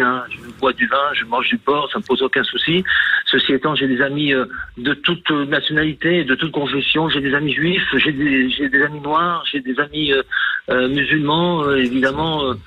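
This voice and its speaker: A man's voice: mid-range voice, steady tone, moderate pace, breathy edge. A man in his forties from France calling a radio show, asking his question in a hesitant, rambling, apologetic way. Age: 40s. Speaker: caller. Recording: tinny phone line.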